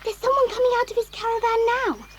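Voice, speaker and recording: A woman's voice: very high voice, fast talker, a little hiss or hum. A woman voicing a forthright, observant child in a children's audio drama. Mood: excited.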